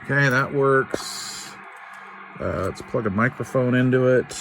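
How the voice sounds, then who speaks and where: An older American man casually narrating a hands-on repair in a folksy, unhurried way, thinking aloud as he goes. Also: mid-range voice, fairly bright tone, a little hiss or hum, low-quality recording. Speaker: radio repair video host.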